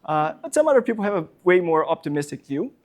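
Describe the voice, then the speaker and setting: A slightly high-voiced man with a Brazilian Portuguese accent, a non-native speaker, giving a public talk as a professor. He speaks haltingly, stacking fillers and repeating words as he searches for phrasing. Heard in a public library talk room. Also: sing-song. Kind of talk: public lecture.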